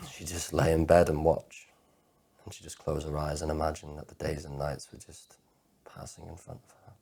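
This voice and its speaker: A man performing a dramatic monologue as an anxious, lovelorn man with obsessive compulsive disorder, halting and repeating his words. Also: extremely low pitch, animated, creaky, warm tone, husky, full character voice. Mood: sad, subdued. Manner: halting, stammering repetition of words. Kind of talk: dramatic monologue performance of spoken-word poetry.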